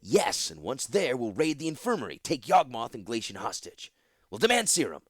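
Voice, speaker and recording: A man's voice: mid-range voice, wildly swooping pitch, normal speed, fairly bright tone, no echo, clean studio sound, pitched above the performer's own voice. A man voicing a sick, bandaged male rebel leader who speaks urgently and insistently.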